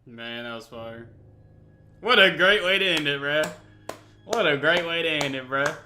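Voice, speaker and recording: A man's voice: a little high, moderate pace, pushed and bright, faint music behind. A man hosting a reaction video with casual, slangy, exclamatory commentary.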